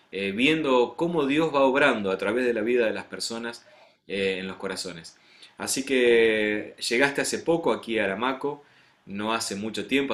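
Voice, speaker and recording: A man's voice: on the low side, fairly bright tone. A man with an Argentine accent hosting an interview program as a pastor, greeting viewers in a friendly way and questioning his guest. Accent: Argentine Spanish. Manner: friendly, addresses viewers directly.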